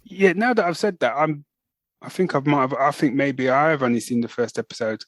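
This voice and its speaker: A man's voice: medium pitch, a little fast, fairly bright tone, no room sound. A man co-hosting a podcast, chatting casually and rambling with restarts. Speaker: podcast co-host. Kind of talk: casual chat.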